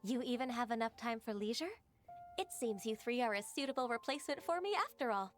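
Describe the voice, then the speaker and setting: Dutiful, gentle game heroine acted by a woman: higher register, courteous and sincere, faint music underneath. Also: lightly acted. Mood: composed, polite. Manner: soft, polite, earnest, measured. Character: gentle, dutiful half-adeptus female secretary, long-lived. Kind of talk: video game voice acting.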